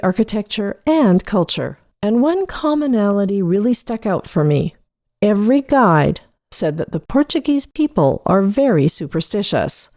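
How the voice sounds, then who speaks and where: A woman presents a scripted podcast segment like a storyteller. Her pitch moves in a lively way while the tone stays mellow. The recording is very dry. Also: no echo.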